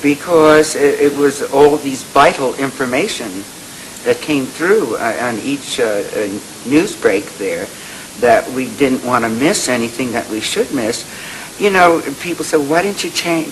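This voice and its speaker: An elderly woman in her eighties recounting childhood memories in a halting, repetitive way. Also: rumbling low, expressive, low-quality recording. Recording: faintly hissy interview recording.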